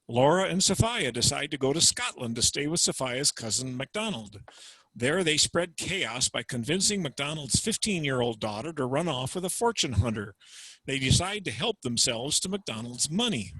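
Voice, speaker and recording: A man's voice: fairly high pitch, bright, forceful tone, husky, no echo, poor audio. A man narrating plot summaries between scenes in a plain, matter-of-fact way, recorded at home over a video call. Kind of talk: plot summary for a dramatic reading.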